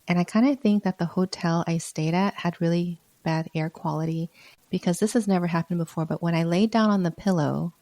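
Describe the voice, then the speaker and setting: A woman hosts her own podcast in an earnest, encouraging manner, her low voice mellow and a little hoarse from a sore throat. The sound is dry and close, with no room echo. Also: steady tone, brisk. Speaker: podcast host. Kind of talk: solo podcast talk.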